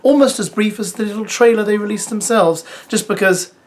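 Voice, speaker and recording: Brief: parent vlogger giving reality TV recaps, chatty and wry, middle pitch. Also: a little fast. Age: adult parent. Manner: chatty, sarcastic asides, lively. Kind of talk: casual spoken commentary to viewers.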